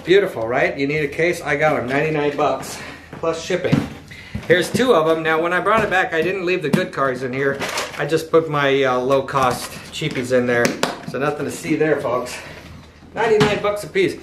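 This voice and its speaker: Middle-aged male collector, upbeat and chatty, slightly higher voice, roomy living-room sound, faint hiss. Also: normal speed. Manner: chatty, enthusiastic, salesy asides. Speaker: toy car collector and dealer. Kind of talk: casual unboxing vlog. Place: living room indoors, home. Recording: echoey living room, faint background noise.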